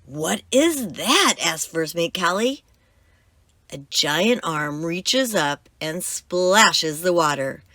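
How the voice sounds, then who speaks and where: A woman reading a children's book aloud as host, with expressive, emphatic storytelling. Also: fairly low pitch, takes it slowly, dry recording, studio quality.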